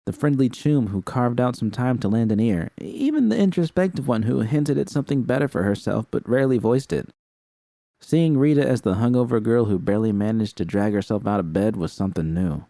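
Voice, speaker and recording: A man narrating a first-person fan fiction story in a steady, even way. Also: lowish voice, dry recording, clean studio sound. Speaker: audiobook reader.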